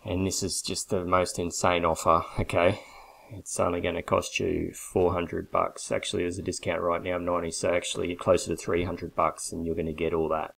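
A man in his forties giving a blunt, persuasive sales pitch straight to a webcam from a small bare office. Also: level tone, fairly quick, creaky, a bit bright. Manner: blunt, persuasive, emphatic with hand gestures. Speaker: coffee business consultant. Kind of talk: direct-to-camera sales pitch. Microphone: webcam at desk.

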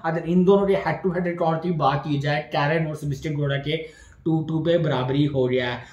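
Young male sports YouTuber, slightly high voice, emphatic and repetitive, recorded in an echoey small room. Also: fairly even intonation, a little fast, a little mellow, highs cut off. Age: young adult. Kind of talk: post-match tennis analysis to camera.

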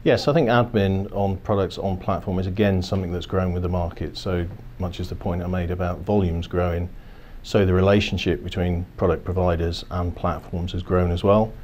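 A man in his fifties, a financial services executive, giving measured, corporate explanations in a seated office interview, often restarting his phrases. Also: low voice, level tone, a little mellow, slight background noise. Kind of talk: business interview. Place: office, indoors.

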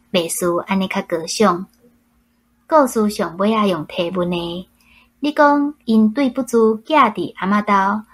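A woman with a Taiwanese accent reads a prepared book reflection aloud. Her voice is mid-pitched and husky, in the manner of a club member presenting a written piece.

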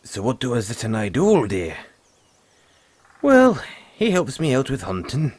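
A man playing a gruff but friendly forest dragon tamer, talking in a folksy way straight to the listener in an audio roleplay. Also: medium pitch, wildly swooping pitch, unhurried, a little mellow, dry recording. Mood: friendly, explaining. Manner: folksy, teasing, calls the listener lad.

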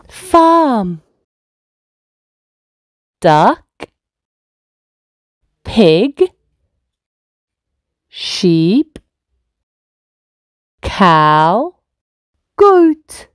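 A woman's voice: medium pitch, very animated, very slow, pauses often, dry recording, clean studio sound. A woman reading aloud to toddlers as a children's storyteller, in simple, encouraging child-directed speech. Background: faint music.

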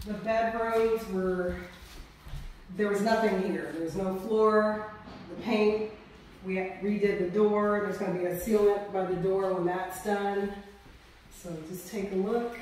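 A middle-aged woman giving a relaxed, chatty walkthrough of a house under renovation, filmed on a phone indoors. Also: medium pitch, far from the mic, slight background noise. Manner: relaxed, chatty, pointing things out. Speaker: real estate investor giving a house tour. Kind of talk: casual walkthrough tour. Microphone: phone camera held by someone else. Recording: echoey empty rooms, voice off-mic.

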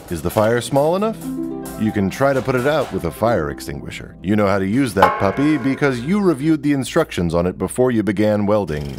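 A young man presenting a scripted workplace safety video in a wry, deadpan joking manner, recorded in a large industrial workshop. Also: lowish voice. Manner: wry, deadpan jokes between safety tips. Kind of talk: scripted comedic safety narration.

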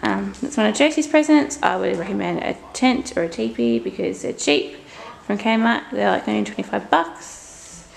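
Creaky-voiced, hesitant Australian woman vlogging. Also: medium pitch, moderate pace, reverberant. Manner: hesitant, stop-start with a slight stutter. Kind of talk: casual chat to camera. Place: inside a house. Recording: clear background noise on home camera audio.

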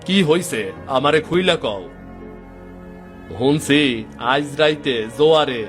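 A man acts out an excitable villager from a cartoon folk tale. His slightly high voice carries a rural Bangladeshi accent and homely, exclamatory phrasing. Music plays clearly behind him. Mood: worried. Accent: rural Bangladeshi Bengali. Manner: colloquial, exclamatory. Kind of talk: Bengali cartoon dialogue.

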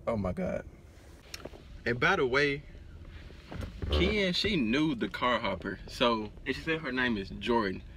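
A man's voice: medium pitch, animated, a little slow, long pauses, pushed and bright. A man, chatting casually as a prank vlogger inside a car. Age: 20s. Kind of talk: casual vlog chat. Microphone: handheld phone at arm's length. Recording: noisy car interior, phone camera audio.

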